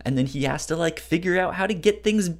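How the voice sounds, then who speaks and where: A podcast co-host chatting casually about books in a halting way, often trailing off and rephrasing. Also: mid-range voice. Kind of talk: casual book discussion chat.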